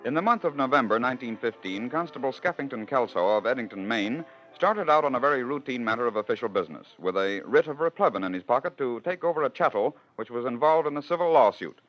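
A man's voice: medium pitch, expressive, fast talker, few pauses, faint music behind. An American man hosting and narrating a 1940s radio program with wry, theatrical storytelling. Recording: dull, lo-fi 1940s radio recording.

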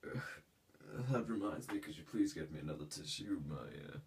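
A man's voice: sits low, animated, normal speed, far from the mic, slight background noise. A man voicing a haughty, sick young heir in an ASMR roleplay, formal and hesitant with fillers, stuffy with a cold and sneezing.

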